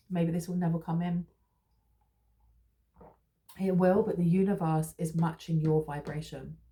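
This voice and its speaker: A woman talks through a tarot reading in a loose, rambling way, full of fillers and restarts. Her voice sits a little low and sounds mellow and relaxed. She keeps a gently reassuring tone toward her listeners. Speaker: tarot reader. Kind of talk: casual spiritual tarot reading.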